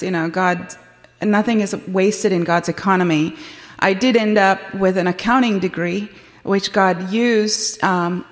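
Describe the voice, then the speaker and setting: A woman's voice: medium pitch, big-room sound. A woman from Sri Lanka with a Sri Lankan accent, giving an earnest appeal for a ministry at a church event, with a few hesitations.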